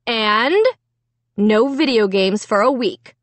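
A woman's voice: a little high, slow pace, no room sound. A woman voicing a stern mother who scolds her children firmly and sharply in a storybook. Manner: firm, sharp, commanding.

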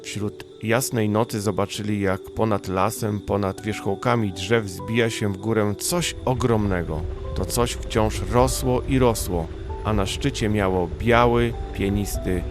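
A man's voice: lowish voice, steady tone, unhurried. A man narrating a children's bedtime audiobook in the style of a storyteller. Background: music.

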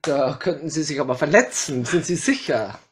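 A man's voice: on the high side, expressive, normal speed, natural voice. A man playing a stammering, hesitant painter. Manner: hesitant, stammering with many fillers. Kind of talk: amateur comedy crime radio play.

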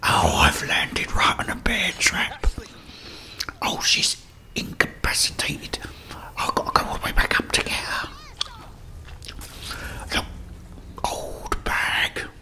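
A man's voice: sits high, wildly swooping pitch, takes it slowly, long pauses, pushed and bright. A middle-aged man with an English accent whispering ASMR commentary as he plays a game, muttering reactions and talking to the characters. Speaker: gaming ASMR host.

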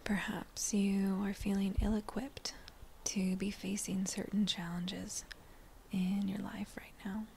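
A woman leading a guided meditation in a gentle, breathy near-whisper, speaking in short unhurried phrases with pauses between them. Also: medium pitch, level tone, a little hiss or hum. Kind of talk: slow guided meditation narration.

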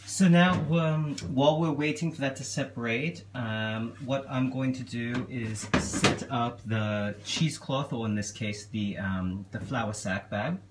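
A young man playing a genteel, affectionate elf king giving a gentle, hesitant, casual cooking demonstration. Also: medium pitch, measured pace, hardly any pauses, natural voice. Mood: chatty, unhurried. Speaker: cooking show host. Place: small home kitchen, indoors. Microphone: camera a step or two away. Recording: clearly noisy kitchen recording.

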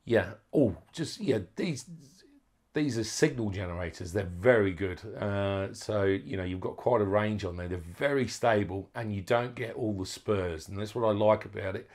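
A man giving an informal, unscripted hands-on electronics walkthrough as a hobbyist, with hesitant, halting phrasing. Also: lowish voice, darkish tone. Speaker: amateur radio hobbyist presenting a project.

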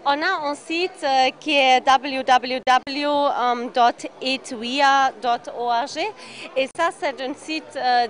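A young woman answering a street interview slowly and carefully, pausing to find words. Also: high-pitched, slight background noise. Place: indoor craft fair stall. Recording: crowd chatter behind.

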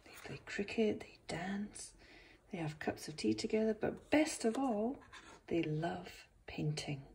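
A woman reading a picture book aloud to young children as its author, expressive, with gentle asides. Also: medium pitch, a little slow, a little hiss or hum.

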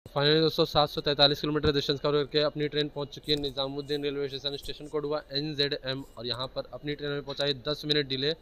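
A man's voice: fairly high pitch, completely flat delivery, fast talker, few pauses. Young man vlogging a train trip. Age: young adult. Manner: informative, addresses viewers as friends. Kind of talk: casual travel vlog narration. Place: train and railway platforms, indoors and out. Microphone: phone camera held at arm's length. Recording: noisy train and station ambience.

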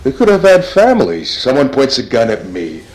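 A man playing an irritable, bickering armed robber in a comic-book dub, gruff and snappish. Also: mid-range voice, huge pitch swings, moderate pace, darkish tone. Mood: irritated. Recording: roomy echo on the voice.

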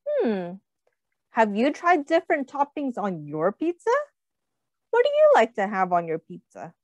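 A woman reading a picture book aloud to young children, expressively, pausing to explain and ask questions. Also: a little high, wildly swooping pitch, dry recording. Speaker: read-aloud host, teacher.

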